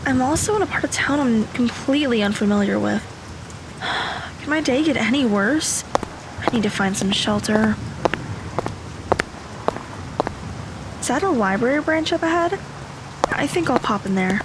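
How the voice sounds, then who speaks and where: A woman playing a troubled, self-critical teenage girl in an audio drama, kind and quiet by nature. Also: fairly high pitch, lively intonation, normal speed, heavy background noise. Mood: weary, miserable. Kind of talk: audio drama acting with inner monologue. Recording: music behind the voice.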